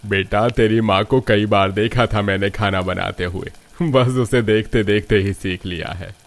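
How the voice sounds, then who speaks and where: A kindly village father, acted by a man for a cartoon family story. He talks earnestly and conversationally in a fairly low voice. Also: fairly even intonation, a little slow. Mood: gentle, earnest. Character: kindly father and his grown son, humble villagers.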